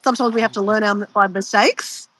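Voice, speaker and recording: A woman's voice: mid-range voice, steady tone, a little fast, fairly bright tone, no echo, poor audio. Stuffy-nosed woman, chatty guest. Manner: chatty, rambling with restarts. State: stuffy nose, sniffly. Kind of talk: casual chat on a video call.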